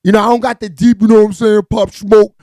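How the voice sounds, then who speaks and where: A raspy-voiced man hosting a live entertainment news stream, giving hyped, slangy hip-hop gossip commentary with lots of shout-outs to viewers. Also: high-pitched, level tone, fast talker, dry recording, studio quality.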